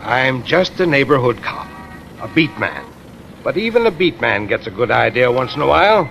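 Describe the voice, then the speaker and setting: Gravelly, needling beat cop. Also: male voice, mid-range voice, sing-song, moderate pace, a bit bright, muffled recording, soft background music. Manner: sardonic, needling, accusatory. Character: suspicious, bullying neighborhood beat cop. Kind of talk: 1950s radio drama acting. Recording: noisy vintage radio recording.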